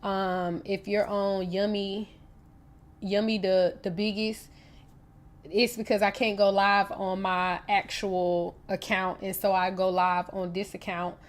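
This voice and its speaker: A woman's voice: mid-range voice, level tone, slow pace. A young woman with a North Carolina Southern accent, hosting a casual livestream with a stuffy nose and chatting straight to her viewers. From Raleigh, North Carolina. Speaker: livestream host.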